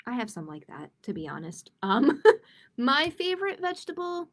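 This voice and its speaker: Female storytime host, higher-pitched, expressive delivery for young children and parents, reading aloud and chatting informally. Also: normal speed. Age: adult. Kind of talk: reading picture books aloud with informal asides to viewers. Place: live-streamed online storytime.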